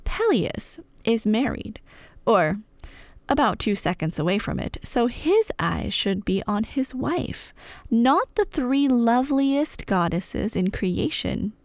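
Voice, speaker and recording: A woman's voice: on the high side, very animated, takes it slowly, no echo. A woman telling a scripted story in a casual, chatty way, with playful, wry asides as an ASMR roleplay storyteller. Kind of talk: casual, scripted myth retelling.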